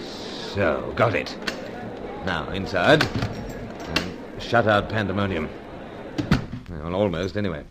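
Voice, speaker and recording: A man playing a resolute, brisk British secret agent in a radio adventure serial, clipped and deferential in manner. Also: sits low, expressive, very noisy. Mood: muttering, intent.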